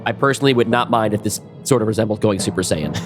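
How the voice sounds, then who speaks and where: Male storyteller: somewhat low voice, playful colloquial action narration, light music underneath. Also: expressive, quick pace. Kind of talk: casual, playful scripted drama narration.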